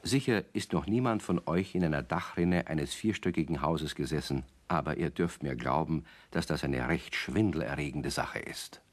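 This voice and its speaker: A man narrating a children's radio play as an avuncular, gently wry storyteller who addresses his young listeners directly. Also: a bass voice, normal speed, hardly any pauses.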